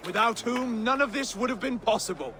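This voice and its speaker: An actor playing a gentle, earnest and pious Saxon king in a dramatic video game. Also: extremely high pitch, brisk, crowd noise, noisy background. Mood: earnest, solemn. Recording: music behind the voice.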